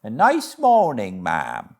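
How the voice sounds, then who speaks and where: A man's voice: fairly low pitch, huge pitch swings, takes it slowly, darkish tone, voice pushed up, full character voice. A man voicing a big, stout, friendly barge woman in a storybook, polite and obliging.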